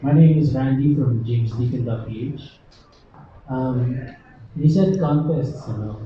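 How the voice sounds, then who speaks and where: Halting male reporter, far and echoey. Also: medium pitch, slight background noise. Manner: halting, says "you know" often. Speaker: online media reporter. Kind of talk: asking a question at a press conference. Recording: distant, echoey hall, dull.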